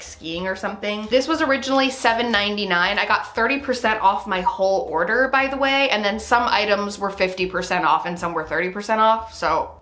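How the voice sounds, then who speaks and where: A young woman from Tennessee chatting through a thrift haul. She talks fast, with a shrill voice that carries, and uses a lot of "like". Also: a bit bright, reverberant. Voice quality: shrill, carrying voice. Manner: chatty, bubbly, full of "like". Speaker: vlogger. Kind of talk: casual thrift haul chat. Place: thrift store, then at home.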